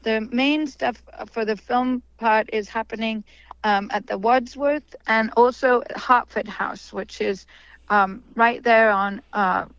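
A woman's voice: a little high, steady tone, slow pace, fairly bright tone, clear, resonant tone, no echo, dull audio. A woman guest on a radio talk show, chatty and hesitant, with many fillers as she explains a festival. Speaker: guest, film festival organizer. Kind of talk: casual radio interview.

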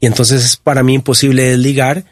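A man in his fifties with a Costa Rican accent, giving personal testimony as an interview guest in a reflective, halting way. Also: medium pitch, flat delivery, no echo, studio quality. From Costa Rica. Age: 50s.

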